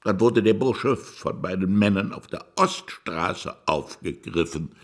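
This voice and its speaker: An actor playing a wily, self-pitying crime boss in a radio play, gravelly and theatrical, swooping between wheedling and grumbling. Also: male voice, deep voice, animated, measured pace. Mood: confiding. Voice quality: gravelly, husky. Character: shady Chinese crime boss, wily, self-pitying, touchy about his reputation.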